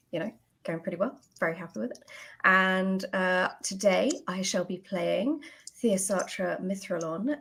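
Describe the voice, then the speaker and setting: A woman's voice: lowish voice, fairly bright tone. A woman writer introducing herself casually as a guest on a panel. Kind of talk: casual chat, self-introduction.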